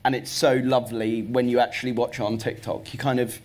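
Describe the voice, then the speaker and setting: A man's voice: medium pitch, little pitch movement, brisk, darkish tone. A young man speaking as a panel guest in an earnest, easygoing way with long run-on sentences. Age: young adult. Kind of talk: panel discussion.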